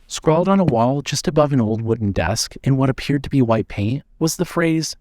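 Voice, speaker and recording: A man reading scripted narration for an audio drama in a measured way. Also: medium pitch, animated, darkish tone, no echo.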